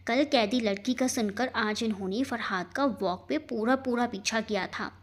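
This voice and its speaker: A woman reading a scripted story as its narrator, with expressive delivery. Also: a little high, normal speed.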